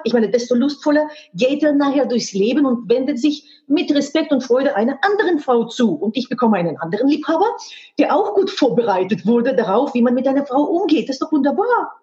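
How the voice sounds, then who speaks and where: A woman with a fairly high voice talks frankly and emphatically, sometimes restarting as she hunts for words in a language that is not her first. The audio is dull and muffled. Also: sing-song, brisk, dark tone. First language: not German. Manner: frank, emphatic, with earthy expletives. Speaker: guest, sex educator and hypnotherapist. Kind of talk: candid podcast conversation.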